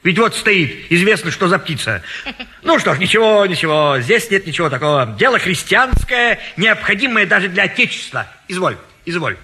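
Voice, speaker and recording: A high, bright male voice that swoops restlessly a pushy busybody friend in a classic comedy play. He cajoles and bosses, breaking into exclamations. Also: lively intonation, slight background noise, heavily acted. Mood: eager, nosy. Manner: forceful, cajoling, fast-switching exclamations. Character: brash, meddling bachelor's friend, pushy busybody matchmaker. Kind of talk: classic stage comedy audio play acting.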